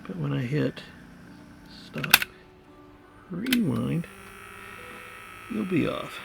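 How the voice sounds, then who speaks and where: A man's voice: a little high, sing-song, extremely slow, gravelly, very noisy. A man chatting casually and thinking aloud as he tinkers, muttering to himself and to the thing he is repairing. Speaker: hobbyist repairer of vintage tape recorders.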